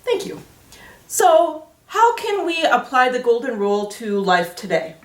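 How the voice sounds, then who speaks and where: A woman's voice: high voice, very animated, unhurried. A woman hosting a children's Sunday school storytime, explaining things simply in a teacherly way with rhetorical questions. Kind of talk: explaining simply to children, with Bible reading and interviewing. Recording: roomy echo, distant from microphone.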